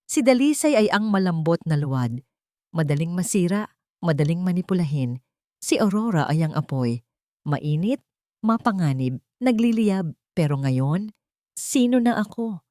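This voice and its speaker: A woman narrates a dramatic romance story in the first person. Her voice sits low, and the recording is very dry, with no room sound. The delivery is scripted storytelling. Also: mellow, no echo.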